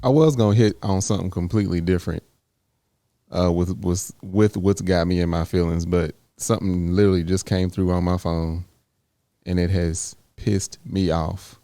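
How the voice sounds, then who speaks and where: A man's voice: sits low, steady tone, normal speed, dark tone, no room sound. A man co-hosting a podcast in casual, plainspoken chat, laid-back and teasing. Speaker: podcast co-host.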